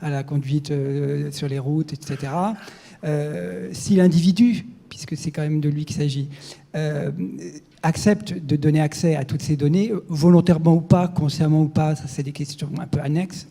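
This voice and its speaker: A man's voice: a little high, steady tone, moderate pace, creaky, dark tone. A man asking a long-winded question from the floor at a conference, hesitant and full of fillers. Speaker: audience questioner. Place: conference amphitheater.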